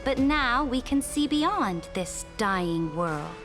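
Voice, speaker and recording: Game role: arrogant female Imperator, high, sweeping delivery, formal and commanding, music underneath. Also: lively intonation, takes it slowly. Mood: haughty, grand. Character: imperious, haughty female Imperator who calls allies her subjects. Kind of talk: dramatic video game voice acting. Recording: music behind the voice.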